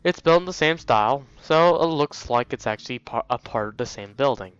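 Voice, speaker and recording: A man's voice: mid-range voice, expressive, a little fast, a bit bright, smooth, muffled recording. A man hosting a let's play, reading visual novel text aloud with casual, jokey asides and getting easily sidetracked. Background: faint game music.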